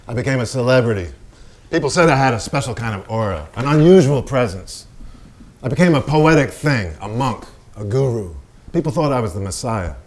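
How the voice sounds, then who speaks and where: An actor in a staged reading playing a brash, vulgar and troubled celebrated painter, with a raw, profane, halting delivery. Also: male voice, medium pitch, animated, lightly acted. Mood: cocky, boastful. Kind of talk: theatrical staged reading, dramatic monologue.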